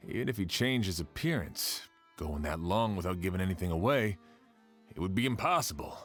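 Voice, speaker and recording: A man's voice: sits low, animated, brisk, fairly bright tone, faint music behind. A man playing a skeptical sheriff in a game, questioning and doubtful in manner. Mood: skeptical, puzzled. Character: skeptical adult male sheriff investigating a crime. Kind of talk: game dialogue acting.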